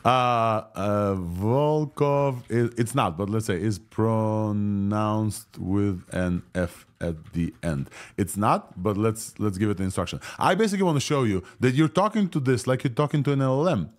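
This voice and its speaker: Excited man with a Russian-Israeli accent. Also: fairly low pitch, measured pace, hardly any pauses. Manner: excited, rambling, laughs often. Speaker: tech show host. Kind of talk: live reaction video. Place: home room, indoors.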